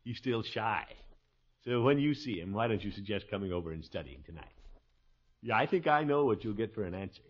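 An actor playing a pompous, fretful middle-aged American uncle in a 1950s radio comedy, hemming and hawing. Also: male voice, on the low side, expressive, fairly quick. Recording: muffled 1950s radio recording.

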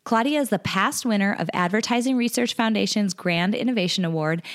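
A woman hosting a podcast, reading an upbeat scripted introduction of her guest. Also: mid-range voice. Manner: upbeat, enthusiastic. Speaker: podcast host.

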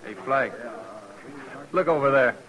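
An actor playing a brash, mocking army officer's pal in a 1950s radio western. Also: male voice, medium pitch, slow pace, muffled recording, close to an everyday voice. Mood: jeering, breezy. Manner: mocking, breezy. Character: jeering, brash army sidekick of a lieutenant. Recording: old radio recording with faint noise.

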